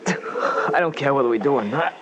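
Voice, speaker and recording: An actor playing a weary, freezing, nervous climber in an old radio drama, halting and short of breath. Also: male voice, medium pitch, lively intonation, natural voice. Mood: exhausted, complaining. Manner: halting, complaining. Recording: muffled, very noisy old radio recording.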